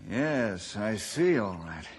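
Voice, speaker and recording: Skeptical ranger in charge for radio adventure: measured, folksy, lively intonation, husky edge. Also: male voice, lowish voice, heavily acted. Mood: skeptical, firm. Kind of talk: old radio adventure drama acting.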